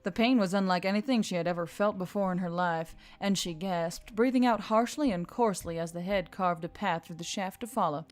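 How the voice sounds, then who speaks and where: Woman narrating action fiction over music. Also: mid-range voice. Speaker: audiobook narrator. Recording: background music under the voice.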